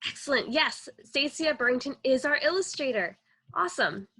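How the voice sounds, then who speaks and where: Young woman, high bright voice, lively pitch swings, enthusiastic questioning teacher, children's read-aloud over video call. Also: normal speed, husky, rough recording.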